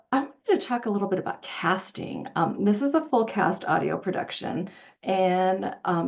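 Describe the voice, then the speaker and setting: Woman with creaky voice, rambling informally. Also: on the low side, darkish tone. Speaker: panel moderator. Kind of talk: casual panel discussion. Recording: dull, lo-fi audio.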